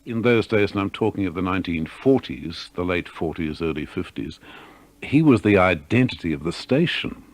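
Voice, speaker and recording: A man's voice: low voice, expressive, moderate pace. An older man, a radio veteran reminiscing in an interview and often rephrasing as he goes. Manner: hesitant, self-qualifying phrasing. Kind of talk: reflective interview talk.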